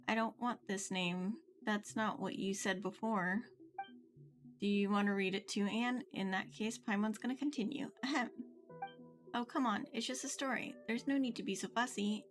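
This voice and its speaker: Woman game streamer over clear background music. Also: mid-range voice. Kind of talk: livestream read-aloud of game dialogue with casual reactions. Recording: music behind the voice. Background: video game music.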